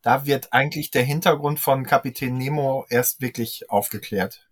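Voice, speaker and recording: Guy on a podcast panel, medium pitch, explaining stuff factually and precisely. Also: moderate pace. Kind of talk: explanatory discussion.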